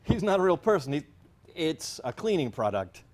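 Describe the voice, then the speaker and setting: An actor playing an affable, teasing husband who gripes about work in a staged comic play. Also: male voice, on the high side, very animated, normal speed, darkish tone. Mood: playful. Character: affable, teasing working husband griping about his boss.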